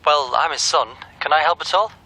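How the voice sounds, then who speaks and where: Radio drama businessman: brisk man on a phone, piercing forceful tone. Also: fairly high pitch, poor audio. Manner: curt, businesslike. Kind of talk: naturalistic radio drama acting.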